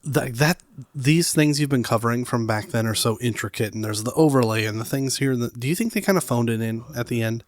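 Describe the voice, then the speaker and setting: A man's voice: medium pitch, a little fast. A man co-hosting a casual podcast, easygoing and joking, a little stuffy from a cold, with lots of fillers. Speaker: podcast co-host. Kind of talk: casual chat.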